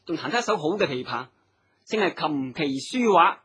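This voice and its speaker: An actor playing a sly, fawning con man with a husky voice, coaxing in a Cantonese radio drama. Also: a little high, animated, brisk, sharp tone, heavily acted. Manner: coaxing, ingratiating. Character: sly male accomplice, fawning smooth-talking con man.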